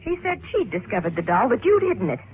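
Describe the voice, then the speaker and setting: A woman's voice: mid-range voice, big pitch swings, fast talker, breathy edge. An actress playing an anxious, defensive young woman in a vintage radio crime drama, with a dramatic, pleading delivery. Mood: insistent. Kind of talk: 1940s-50s radio drama acting. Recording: narrow, noisy old radio recording.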